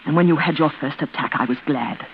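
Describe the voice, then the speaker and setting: A woman's voice: sits low, a little fast, slight background noise. An actress playing a bitter, scheming woman caring for her mad sister, in melodramatic, intense 1940s radio suspense style. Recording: tinny vintage radio recording, loud organ music.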